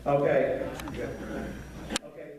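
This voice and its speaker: A man hosts a public meeting and introduces the next speaker in a loose way, with many ums and uhs. He is heard from far off in a very echoey hall, with clear background noise. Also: slow pace. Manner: casual, with many fillers. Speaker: meeting host.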